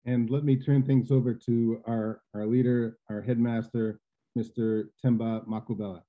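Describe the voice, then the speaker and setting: A man's voice: mid-range voice, flat delivery, normal speed. A middle-aged man hosting a school webinar, chatty and full of fillers and restarts. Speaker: admissions host. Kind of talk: webinar introduction. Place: home living room, indoors. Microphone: video call webcam. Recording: tinny phone-like video call audio.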